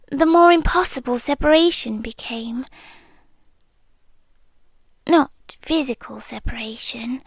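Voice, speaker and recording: A woman with a British accent playing a possessive, lovestruck monster girlfriend in an intimate ASMR roleplay, often dropping into breathy whispers. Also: sits high, no echo, heavily acted. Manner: slow, breathy, often whispering, intense and tender. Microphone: close ASMR microphone, dry.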